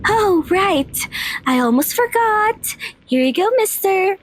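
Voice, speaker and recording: Woman acting a high-pitched, bubbly young vampire mistress. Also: lively intonation, slow, a little hiss or hum, heavily acted. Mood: gleeful, hungry. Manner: bubbly, informal, giggly. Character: playful, girlish, hungry young vampire mistress, eager and sweet. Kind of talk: ASMR roleplay acting.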